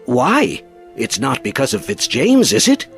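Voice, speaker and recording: A man's voice: medium pitch, huge pitch swings, a little slow, creaky, fairly bright tone, soft background music, heavily acted. A man playing a courteous, condescending nineteenth-century naval captain, formal and theatrical, in an audio drama. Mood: courteous, probing.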